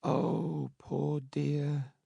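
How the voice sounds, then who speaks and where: A man voicing an old pauper woman working as a nurse, slow and plaintive, in an audiobook. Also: fairly high pitch, level tone, creaky, mellow, breathy edge, dry recording, lo-fi sound, voice pushed up, exaggerated voice. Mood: pitying.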